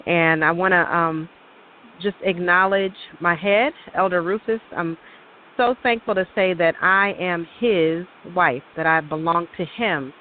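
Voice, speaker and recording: A woman's voice: on the low side, measured pace. A woman co-hosting a religious show, giving a reverent, grateful devotional testimony. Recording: hissy, tinny phone line.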